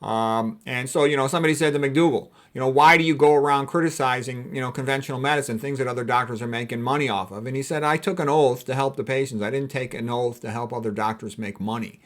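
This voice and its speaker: A man's voice: medium pitch, fairly even intonation, quick pace, hardly any pauses. Man, doctor lecturing informally, blunt, opinionated, colloquial wording, conversational check-ins. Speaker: doctor giving a health talk. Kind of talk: informal explanatory lecture with commentary.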